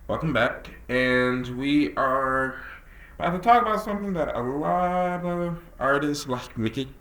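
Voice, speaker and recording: A man of nineteen talks casually and earnestly to camera as a creative director, drawling some words and rushing others. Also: fairly high pitch, expressive, fairly bright tone. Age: young adult. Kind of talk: casual talking-head vlog. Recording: echoey room.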